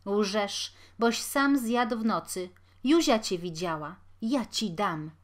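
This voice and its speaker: A woman voicing a harried poor mother who scolds loudly and pleads tearfully. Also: medium pitch, lively intonation, slow pace. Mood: scolding.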